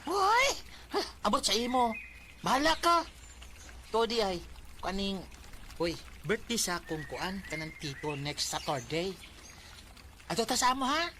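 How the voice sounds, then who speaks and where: A brash, teasing young friend in a Cebuano radio drama. The mid-pitched voice swoops expressively over a very noisy recording. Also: big pitch swings, measured pace, long pauses. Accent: Cebuano Filipino. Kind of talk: Bisaya radio drama acting.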